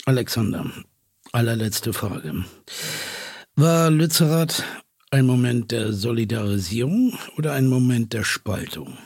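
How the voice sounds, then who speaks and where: A man with a markedly husky, creaky voice interviews guests as a podcast host. His questions are probing but conversational, and the sound is dry, without room echo. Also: mid-range voice, sing-song, a little slow, a little mellow.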